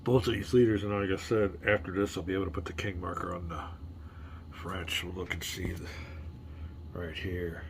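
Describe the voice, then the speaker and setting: A man hosting a casual board game playthrough, rambling and chatty, muttering rules half under his breath and sounding a little hoarse. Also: low-pitched, flat delivery, normal speed, slight background noise. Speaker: board game video host. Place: home room with game tables.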